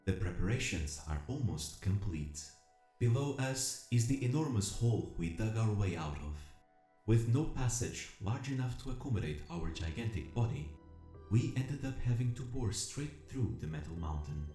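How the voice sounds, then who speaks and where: A man voicing a vast collective machine intelligence in an audio drama, narrating in a solemn, measured, formal and coldly analytical manner. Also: fairly low pitch, animated, dark tone, lightly acted. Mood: solemn, methodical. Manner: measured, formal, speaking as a plural we. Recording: roomy echo with music behind.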